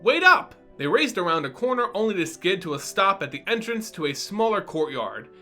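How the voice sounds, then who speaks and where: Man, fantasy story narrator, very varied pitch, bright tone, expressive dramatic delivery, music underneath. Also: a little fast. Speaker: narrator and reader.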